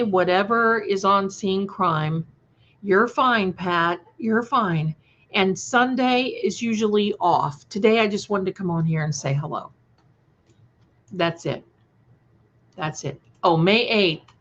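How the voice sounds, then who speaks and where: A woman livestream host talks at an unhurried pace through slightly dull, lo-fi audio. She chats casually with viewers, gushing endearments and drifting from one remark to the next. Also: mid-range voice, a bit bright. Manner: gushing, affectionate terms of endearment, scattered and conversational. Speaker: livestream host and channel creator. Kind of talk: casual livestream chat, reading and answering viewer comments.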